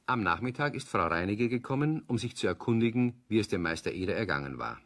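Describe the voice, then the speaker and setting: A man's voice: fairly low pitch, sing-song, fast talker, a bit bright, exaggerated voice. A man narrating a children's audio play as a scripted storyteller.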